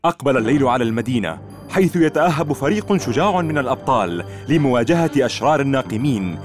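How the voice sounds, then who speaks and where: A man's voice: mid-range voice, animated, fast. A man narrating a dramatic cartoon intro. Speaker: theme song narrator. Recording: loud theme music behind.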